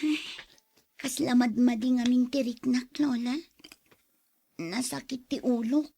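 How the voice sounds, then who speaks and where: A woman's voice: fairly high pitch, fairly even intonation, a little slow, gravelly, dark tone, breathy edge, exaggerated voice. A woman playing an obedient young grandchild who sounds unwell and weak in an Ilocano radio drama, with an Ilocano accent.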